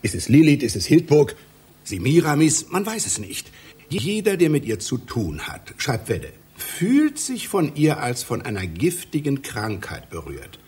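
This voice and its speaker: A man's voice: mid-range voice, wildly swooping pitch, a little slow, a little mellow, slightly rough, exaggerated voice. An actor playing an obsessive, pedantic middle-aged male scholar in a satirical radio play, lecturing in a fussy, declamatory way. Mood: exasperated. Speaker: literary scholar with a doctorate.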